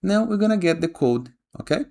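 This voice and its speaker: A man hosting a casual software tutorial voice-over, giving step-by-step instructions and often checking in with a short questioning tag. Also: sits high, expressive, a little fast, vocal fry. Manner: frequently tags instructions with a questioning "okay?". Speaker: tutorial host. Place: voice-over for a screen recording.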